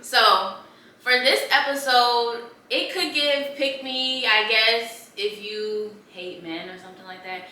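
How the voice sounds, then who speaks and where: A woman's voice: a little high, fairly even intonation, slow pace, sharp tone. A young woman co-hosting a podcast in a laid-back, slangy casual chat, often laughing. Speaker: podcast co-host. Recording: echoey room, mic at a distance, faint background noise.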